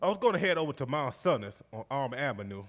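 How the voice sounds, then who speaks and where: Man playing jovial Southern veteran, lively swinging pitch. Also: fast talker. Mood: reminiscing, earnest. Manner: exuberant, folksy, chuckling. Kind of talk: live stage storytelling monologue, conversational. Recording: live stage recording in recreation hall.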